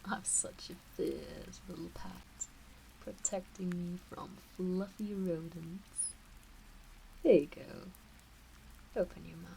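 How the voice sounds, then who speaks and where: A woman voicing a teasing, doting kitsune witch in an intimate ASMR roleplay, with a soft breathy voice that often slips into whispers and coaxing baby-talk. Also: lowish voice, slight background noise, rough recording. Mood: playful, coddling. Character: teasing, doting kitsune fox witch fussing over her pet. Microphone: close ASMR microphone.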